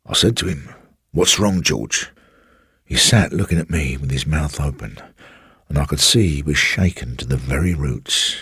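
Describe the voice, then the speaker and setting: A male reader plays an elderly working-class English manservant in a radio ghost story. The voice is low and very rough, close and dry. He is sly and brooding, with slow, insinuating phrasing that swings widely in pitch. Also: bright, forceful tone, dry recording, full character voice. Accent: English, rustic working-class. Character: sly elderly former manservant, evasive and brooding, recounting a haunting.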